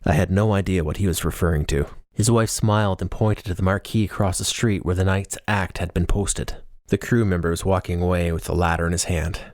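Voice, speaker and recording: A man narrates a novel in the first person with a low-pitched voice. The sound is dry, with no room echo, as in an audiobook reading. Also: steady tone, brisk, darkish tone.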